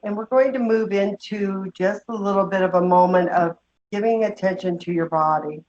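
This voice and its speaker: A woman leading a guided meditation, giving slow, gentle instructions with long pauses. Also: medium pitch. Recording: dull, muffled lo-fi sound.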